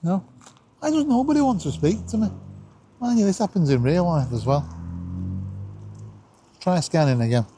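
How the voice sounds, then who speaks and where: A man's voice: high-pitched, lively intonation, normal speed, pauses often, warm tone, a little hiss or hum. A man, chatting casually to camera as a vlog host in a jokey, self-deprecating way.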